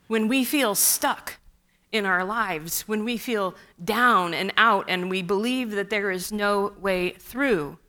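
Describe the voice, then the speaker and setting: Slow, bright-voiced woman preaching. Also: mid-range voice. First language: Russian. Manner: slow, measured, with wry asides. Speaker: pastor. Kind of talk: sermon.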